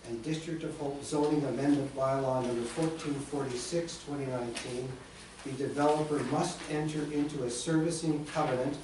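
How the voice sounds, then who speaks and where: A man chairing a council meeting, briskly reading motions and calling votes in a steady procedural cadence. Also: mid-range voice. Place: council chambers. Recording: echoey chamber, distant mic, background noise.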